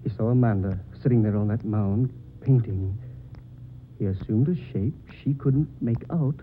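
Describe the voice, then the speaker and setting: A man's voice: fairly low pitch, moderate pace, narrow, tinny audio, natural voice. An actor playing a confident, educated male investigator in an old-time radio drama, articulate and theatrical. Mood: intrigued. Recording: thin, noisy old radio recording.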